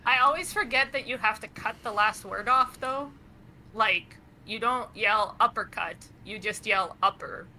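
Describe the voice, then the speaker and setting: A woman's voice: a little high, measured pace, pushed and bright, slight background noise, low-quality recording. A woman from Canada, co-hosting a podcast in casual chat, talking freely and laughing often. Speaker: podcast co-host.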